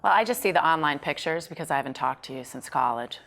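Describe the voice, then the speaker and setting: A woman's voice: low voice, animated, fast talker, gravelly, a bit bright. A woman talking casually through a script as an actor, precise about wording and reading lines out as she checks them. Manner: precise, detail-minded, asks for approval. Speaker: actor at a script table read.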